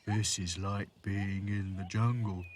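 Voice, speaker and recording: A gloomy storybook rag doll, played by a man reading aloud. He drags his words out in a slow, weary moan, full of complaint. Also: fairly low pitch, flat delivery, distant mic, slight background noise. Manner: slow, drawn-out moaning. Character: gloomy, dawdling male rag doll who moans.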